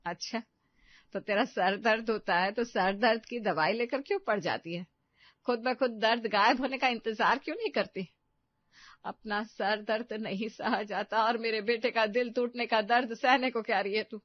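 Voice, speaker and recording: A woman's voice: a little high, moderate pace, no room sound, close to an everyday voice. A melodramatic middle-aged mother, acted by a woman, overprotective and nagging. She scolds and pleads in a comic audio-story manner. The recording is close, dry and a little muffled. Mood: sarcastic, nagging. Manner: scolding, emotionally blackmailing. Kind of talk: comedic Hindi audio story acting.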